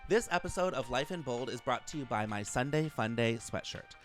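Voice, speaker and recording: A man's voice: mid-range voice, expressive, brisk, faint music behind. An American man in his thirties, chatting casually as he hosts an interview podcast. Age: 30s. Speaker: podcast host.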